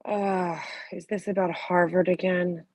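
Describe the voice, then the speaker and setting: A woman's voice: on the low side, flat delivery, unhurried, a little mellow. A woman playing a nagging, pushy sixty-year-old mother in a screenplay table read. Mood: needling.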